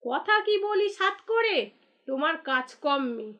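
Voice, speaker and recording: An extremely high-pitched village wife from a cartoon, acted by a woman. She scolds righteously, nagging with sarcasm and a sharp tongue. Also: animated, measured pace, lo-fi sound, exaggerated voice. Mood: sarcastic, scornful. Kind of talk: cartoon dialogue acting.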